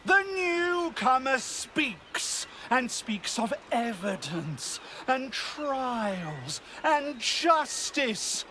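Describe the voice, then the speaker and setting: A man playing a theatrical zealot in a video game, rousing a mob with grandiose, accusing, drawn-out oratory. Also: extremely high pitch, sing-song, sharp tone, a little hiss or hum, heavily acted. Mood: menacing, righteous. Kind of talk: dramatic video game acting.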